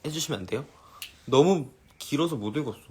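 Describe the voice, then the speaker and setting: A man's voice: medium pitch, lively intonation, brisk, mellow, slight background noise. A young man in his twenties, chatting playfully and giggling on a casual live stream.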